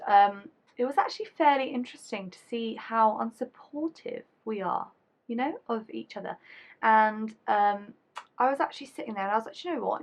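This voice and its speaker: A young woman in her twenties, chatting casually to camera as a YouTuber, her voice deeper than usual after being ill. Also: a bit bright. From England. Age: 20s. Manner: chatty, rambling, casual slang.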